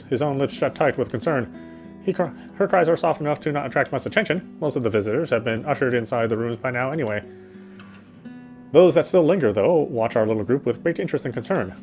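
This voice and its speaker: A man reading first-person visual novel narration quickly, with frequent slips and corrections. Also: medium pitch.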